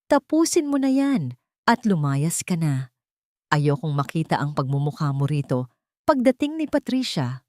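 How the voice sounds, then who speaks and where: A woman's voice: deep voice, big pitch swings, moderate pace, darkish tone, no echo, clean studio sound. A woman with a Filipino accent voicing a cruel, arrogant young groom in an audiobook, contemptuous and commanding. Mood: angry, dismissive.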